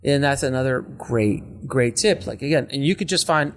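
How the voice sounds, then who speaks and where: A man's voice: medium pitch, moderate pace. A man hosting a podcast interview, affirming his guest's points and restating them as tips for listeners. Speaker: podcast host. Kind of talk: casual interview talk.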